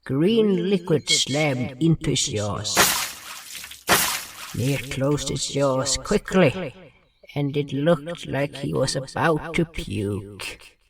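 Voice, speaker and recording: Man voicing slow, creaky, gentle healer creature. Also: medium pitch, animated, background noise, full character voice. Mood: calm storytelling. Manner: broken grammar with dropped articles, halting and thoughtful. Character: gentle, wise nonhuman healer with water and blood magic. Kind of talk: first-person fantasy audio drama narration and dialogue.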